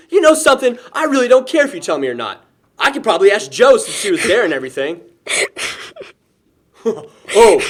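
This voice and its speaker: Male actor for lovesick young guy, sarcastic and emotional, highly varied intonation, naturalistic film drama. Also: a bit bright, full character voice. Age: young adult.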